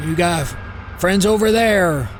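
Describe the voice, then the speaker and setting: A man playing a gruff, protective older uncle, folksy and excitable, in a horror audio drama. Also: sits high, slow pace, very noisy. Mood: wary.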